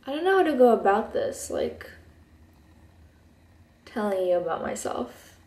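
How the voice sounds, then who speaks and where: A woman's voice: fairly high pitch, big pitch swings, measured pace, darkish tone. A sleepy young woman in her twenties whose first language is Hebrew, chatting in a laid-back way in her bedroom while doing her makeup. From Israel. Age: 20s. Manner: laid-back and chatty, many ums and likes. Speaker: beauty vlogger. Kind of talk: casual get-ready-with-me chat. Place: bedroom, indoors. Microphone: camera at close desk distance. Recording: echoey bedroom recording.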